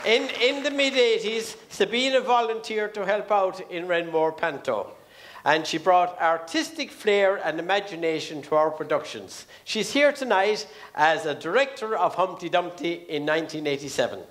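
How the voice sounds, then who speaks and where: High-voiced Irish man announcing on stage. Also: a bit bright, some chatter behind. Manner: ceremonious, measured. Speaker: master of ceremonies. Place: theatre stage. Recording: live theatre stage with audience. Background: audience noise.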